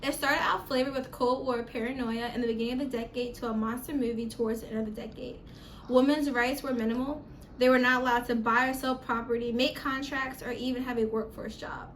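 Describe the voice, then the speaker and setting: Higher-voiced woman reading scripted narration. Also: slight background noise.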